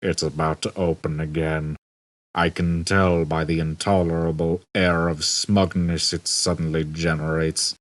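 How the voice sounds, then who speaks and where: A man voicing a depressed, bored robot in an audiobook, droning slowly with gloomy sarcasm. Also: flat delivery. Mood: sour loathing. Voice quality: low, droning. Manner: slow, gloomy, sarcastic muttering. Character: hopelessly depressed, bored male humanoid robot. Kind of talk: audiobook character voice.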